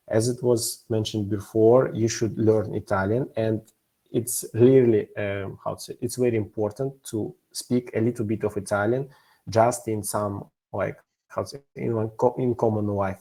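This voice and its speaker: A man's voice: lowish voice, dark tone. A young man from Kazakhstan speaking non-native English, giving an informal testimonial as a student ambassador. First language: not English. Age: young adult.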